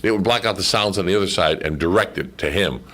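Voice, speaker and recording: A man's voice: low voice, quick pace, a bit bright. A middle-aged or older man chatting casually as a radio guest, telling stories in a rambling, conversational way. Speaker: guest, former radio drama voice actor. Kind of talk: casual reminiscing in a radio interview.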